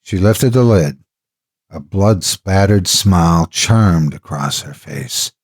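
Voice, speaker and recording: Husky male horror narrator, expressive pitch. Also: takes it slowly. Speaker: horror story narrator and host. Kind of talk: dramatic scripted horror narration.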